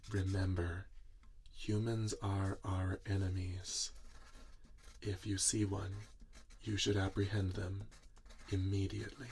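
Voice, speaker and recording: A man acts out a doting, weary inventor father in an ASMR roleplay. He speaks softly and very slowly, with little rise or fall. The voice is low and tender, as he muses to the robot he built. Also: slight background noise. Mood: patient, encouraging. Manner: slow, tender, musing, talking to a robot listener. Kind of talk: soft-spoken ASMR roleplay.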